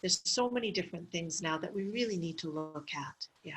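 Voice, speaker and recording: A middle-aged woman from Canada, a medical clown being interviewed, telling stories in a lively way with laughs and fillers. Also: on the low side, brisk. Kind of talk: interview conversation.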